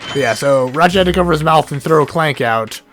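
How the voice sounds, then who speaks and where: A hoarse man, sick, giving rambling casual commentary while playing a game, often stuttering and correcting himself. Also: fairly high pitch, brisk, a bit bright. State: hoarse from illness. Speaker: let's play gamer. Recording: game music underneath.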